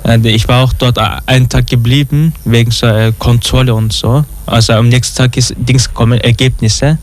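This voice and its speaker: A man's voice: mid-range voice, steady tone, normal speed, a little hiss or hum. A young man from Austria, a radio guest, answering hesitantly and searching for his words. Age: young adult. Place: radio studio.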